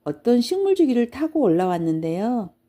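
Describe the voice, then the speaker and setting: A woman plays a young boy from a children's storybook, curious and helpful. The speech is polite and matter-of-fact, with a mellow, gentle tone. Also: a little high, big pitch swings, normal speed, voice pushed up.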